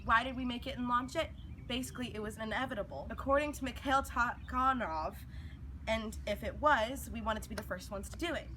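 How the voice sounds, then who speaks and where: A woman's voice: on the high side, brisk, sharp tone, a little hiss or hum. A woman presenting a scripted news report, read aloud. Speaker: news presenter in a mock 1950s newscast.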